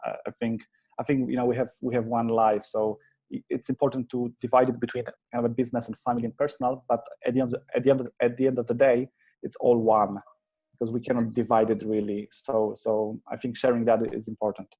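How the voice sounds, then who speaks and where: A Polish-accented man in his thirties answers interview questions with a mellow, dark tone. His delivery stays flat and even, and he keeps restarting his sentences in an earnest, halting way. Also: medium pitch, slightly rough, rough recording. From Poland. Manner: hesitant, many restarts and fillers, earnest. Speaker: interview guest, agency founder. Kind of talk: conversational interview.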